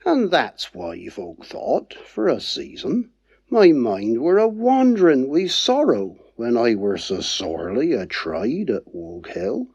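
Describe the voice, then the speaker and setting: Dorset guy reading sad dialect poem, really slow, swoopy pitch, bit muffled. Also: huge pitch swings, vocal fry, a little mellow, husky, no echo. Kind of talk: dialect poetry recital.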